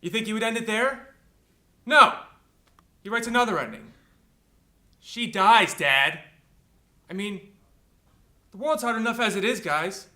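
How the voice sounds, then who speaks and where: High-voiced, exasperated young man ranting, as acted. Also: animated, normal speed, long pauses, sharp tone. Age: young adult. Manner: indignant, emphatic, repeats himself. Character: agitated, exasperated grown son ranting to parents. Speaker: student actor. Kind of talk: performed film monologue.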